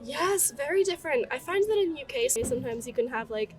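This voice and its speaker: Gushing high-voiced woman from Poland. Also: lively intonation, fairly quick, far from the mic. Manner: enthusiastic, gushing, many fillers like "you know". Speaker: interviewee. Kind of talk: street interview. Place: outdoors on a city street.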